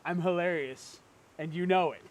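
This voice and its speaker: A man's voice: sits high, normal speed, natural voice, rough recording. A man acting a cocky, teasing twin brother who is flippant and unfazed by jail in an amateur drama reading. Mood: mock hurt, smug.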